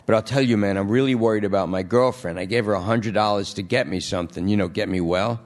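A man's voice: on the low side, quick pace, lightly acted. A man voicing a jittery, wheedling male junkie under arrest who pleads and rambles. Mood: pleading.

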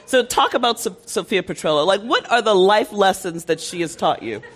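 A woman with a mid-pitched, highly animated voice moderates a relaxed interview at a live event. She sounds enthusiastic and often says a phrase again to stress it. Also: fairly quick, husky. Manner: enthusiastic, repeats phrases for emphasis. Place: live event before an audience.

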